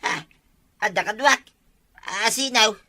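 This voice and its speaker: A woman with an Ilocano accent acting in a comedy sketch, pleading, whiny and loud. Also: on the high side, slow pace. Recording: clear music behind.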